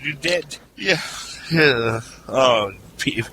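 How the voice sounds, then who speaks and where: A man's voice: mid-range voice, expressive, extremely slow, crowd noise, poor audio. A man hosting a casual podcast panel chat, loose and jokey, laughing often. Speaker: podcast host.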